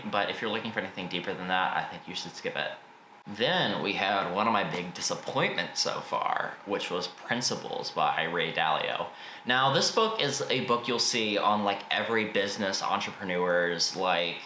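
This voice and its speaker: A man's voice: lowish voice, pushed and bright. A man giving a casual, informal spoken book review, blunt with his opinions but often hedging them. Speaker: book reviewer and video host. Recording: echoey room with faint background noise.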